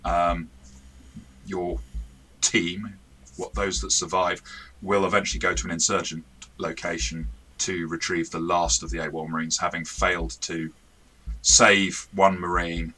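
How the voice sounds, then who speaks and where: A man's voice: sits low, level tone, unhurried, sharp tone, low-quality recording. A man, the game master and co-host, chatting casually and rambling in a self-correcting way as he reviews a game.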